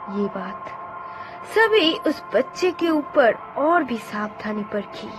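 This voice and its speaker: A woman narrates a ghost story as a dramatic storyteller. Her high voice moves with lively swings at an unhurried pace, over clear background music. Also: low-quality recording.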